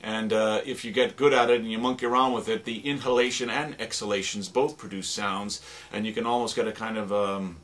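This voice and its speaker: A man giving an informal, halting and rambling demonstration of sound-effect instruments, as a radio drama producer. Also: lowish voice, fairly even intonation, a bit bright. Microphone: stereo microphone. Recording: noisy recording with stereo microphone.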